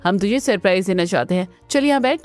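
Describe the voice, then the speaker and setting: A woman's voice: medium pitch, lively intonation, quick pace, faint music behind, lightly acted. A woman voicing a spiteful, snobbish young older sister in a Hindi cartoon, with an exaggerated, sneering manner. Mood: falsely sweet. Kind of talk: Hindi family cartoon voice acting.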